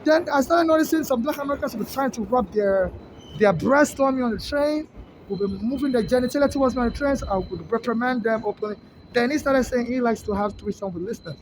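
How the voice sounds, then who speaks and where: Woman, rambling halting monologue, traffic noise behind. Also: a little high. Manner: rambling, halting, many restarts.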